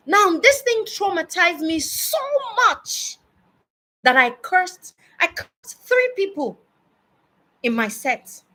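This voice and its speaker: High-pitched, slow-speaking Nigerian woman. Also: big pitch swings, bright, forceful tone, rough recording. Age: early forties. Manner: emphatic, deliberate, with repetition for stress. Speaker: speaker addressing parents. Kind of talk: personal advice monologue.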